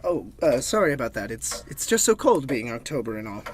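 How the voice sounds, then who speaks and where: A man's voice: mid-range voice, very animated, fairly quick, husky. A man playing a naive, earnest young American in a comic gothic audio drama, with melodramatic, theatrical delivery. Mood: nervous, deferential. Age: young adult. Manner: melodramatic, earnest, hesitant with superiors. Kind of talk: theatrical first-person audio drama narration and dialogue.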